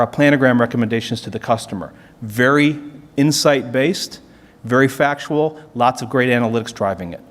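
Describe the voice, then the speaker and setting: A man giving an explanatory business conference presentation, posing questions and then answering them as a corporate presenter. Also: mid-range voice. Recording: reverberant hall sound.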